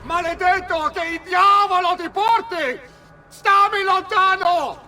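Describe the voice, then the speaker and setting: An actor playing a cowardly, furious Italian nobleman villain with an Italian accent, shouting in a video game. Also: very high voice, a little slow, exaggerated voice. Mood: panicked, desperate. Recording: distant shouting with clear background noise.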